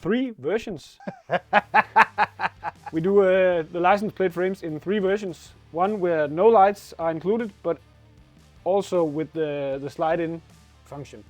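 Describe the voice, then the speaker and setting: A man in his thirties from Denmark, speaking English with a Danish accent as a co-owner of a motorcycle shop, with halting speech full of fillers and laughs. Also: high-pitched, darkish tone, faint music behind. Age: 30s. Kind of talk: casual chat. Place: motorcycle workshop showroom.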